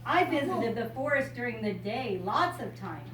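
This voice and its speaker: A woman's voice: fairly high pitch, animated, moderate pace. An older American woman talking informally and reading to young children, explaining things simply. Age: 60s or older. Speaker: storyteller and RV enthusiast visiting children. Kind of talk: informal talk and picture-book reading to children. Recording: echoey room, distant mic, loud background noise.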